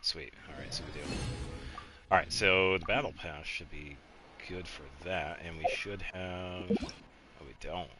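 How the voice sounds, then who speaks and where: A man casually commentating a game on a live stream, chatting with viewers and thinking aloud in a low-key, rambling way. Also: steady tone, measured pace, noisy background. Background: game music.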